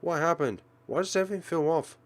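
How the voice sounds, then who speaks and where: A man's voice: fairly high pitch, quick pace, pitched above the performer's own voice, lightly acted. A man voicing a frightened, indignant teenage schoolgirl in a visual novel, exclaiming and pleading. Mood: upset, bewildered.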